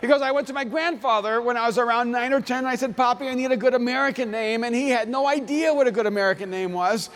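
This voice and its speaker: A man's voice: very high-pitched, fast talker, hardly any pauses, fairly bright tone, big-room sound. A man from El Salvador whose first language is Spanish, preaching a sermon, reading scripture and then telling his own story in an earnest, personal way.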